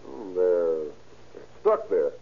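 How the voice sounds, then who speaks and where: A man's voice: deep voice, very animated, extremely slow, gravelly, a little hiss or hum, exaggerated voice. A man playing a homely, plain-spoken salesman on the witness stand in an old radio crime drama. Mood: uncertain. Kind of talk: 1940s radio acting. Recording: tinny narrow-band old radio recording.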